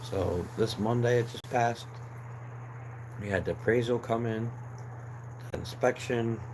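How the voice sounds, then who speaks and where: A man's voice: mid-range voice, measured pace. A young man hosting a casual live chat, losing his voice and rambling along with fillers and restarts. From New Jersey, USA. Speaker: livestream host. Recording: clear background noise.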